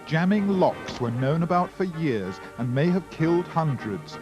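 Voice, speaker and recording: Higher male trailer announcer over music. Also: lively intonation, measured pace. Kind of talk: dramatic scripted programme trailer narration. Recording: dull sound with music behind.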